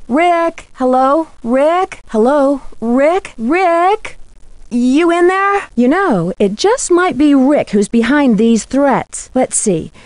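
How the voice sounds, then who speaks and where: A woman voicing a bright, inquisitive teenage girl detective in a video game, with an American accent and clear, earnest, conversational delivery. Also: fairly high pitch, no echo. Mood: calling out, then pondering. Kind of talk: scripted video game voice acting.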